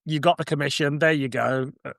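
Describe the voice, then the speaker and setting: A man with a British accent in relaxed, informal podcast conversation. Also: a little high, brisk, creaky, dry recording, clean studio sound. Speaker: podcast host or guest. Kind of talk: casual chat.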